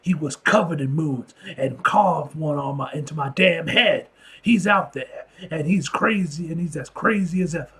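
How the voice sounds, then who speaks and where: A man's voice: on the high side, moderate pace, fairly bright tone, breathy edge, heavily acted. A man voicing a belligerent, aggrieved male street thug from a comic book, brash and confrontational.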